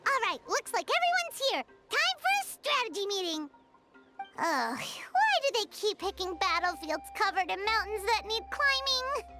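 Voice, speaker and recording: Tiny squeaky fairy sidekick for an anime game, super high, whiny and chatty, music loud behind. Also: female voice, sing-song, moderate pace. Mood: eager. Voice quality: squeaky, childlike. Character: small childlike floating fairy companion, chatty and grumbly.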